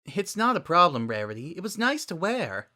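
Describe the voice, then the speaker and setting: A man's voice: a little high, very animated, moderate pace, sharp tone, pitched above the performer's own voice, heavily acted. A man voicing a guarded but good-natured teenage girl who talks casually, in a dramatic reading. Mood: friendly.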